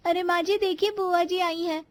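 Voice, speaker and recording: A woman's voice: very high-pitched, steady tone, moderate pace, no room sound. A woman voicing a chatty, teasing young daughter-in-law in a cartoon family drama. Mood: helpful. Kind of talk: animated family drama voice acting in Hindi.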